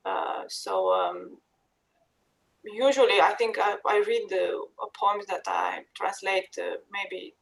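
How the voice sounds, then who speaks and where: A woman explaining their work as a translator in a panel discussion, haltingly and with many pauses. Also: mid-range voice, animated, vocal fry, a little hiss or hum, poor audio. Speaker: poetry translator.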